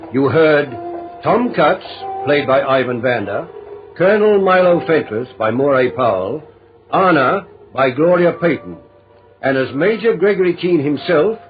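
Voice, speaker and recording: A male announcer from a 1950s radio serial reads in a formal, measured way, his voice pitched a little high. The sound is a dull, muffled vintage wire recording, with music playing behind him. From Australia. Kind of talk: 1950s radio drama announcing, scripted credits. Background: faint music.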